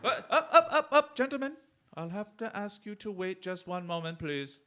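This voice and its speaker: A man playing a confident, quick-witted detective in a theatrical radio drama, with a polite, mocking manner. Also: high-pitched, very animated, bright, forceful tone, clear, resonant tone, heavily acted. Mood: cool, mocking.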